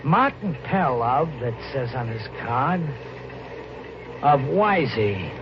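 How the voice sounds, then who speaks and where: An actor playing a desperate, guilt-haunted drifter in a 1950s radio drama, narrating in a tense, halting, colloquial American voice. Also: male voice, medium pitch, very animated, background noise. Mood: haunted narration. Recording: muffled 1950s radio recording, music behind.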